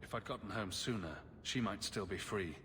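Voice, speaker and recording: Man acting a wry, low-voiced adventurer hero. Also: moderate pace, natural voice. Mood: serious. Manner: wry, casual, dry. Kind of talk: game dialogue. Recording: clearly noisy ambience behind voice.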